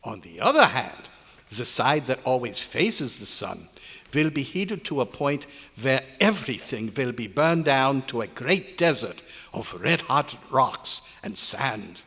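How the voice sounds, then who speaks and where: An actor playing a grumbling old research scientist with odd foreign-style phrasing in a live recreation of an old radio drama. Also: male voice, mid-range voice, sing-song, a little slow, bright, forceful tone, husky, exaggerated voice. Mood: grave lecturing. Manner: grumbling, exasperated, inverted foreign-sounding phrasing. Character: cantankerous old male research scientist, grumbling yet fond. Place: large hotel meeting room at a convention. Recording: echoey hall, live stage performance.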